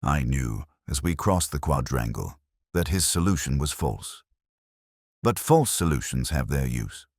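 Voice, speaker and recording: A man playing a brooding, scholarly middle-aged detective who narrates his mystery case in a measured, literary, melancholy way. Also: very deep voice, very animated, darkish tone, husky, dry recording, clean studio sound, full character voice. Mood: somber, reflective. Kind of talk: scripted first-person mystery narration.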